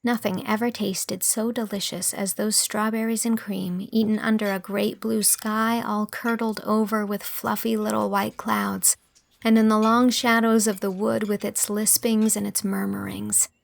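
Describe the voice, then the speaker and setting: A woman's voice: mid-range voice, little pitch movement, measured pace, creaky, dry recording. A woman reading scripted audiobook narration as a storyteller.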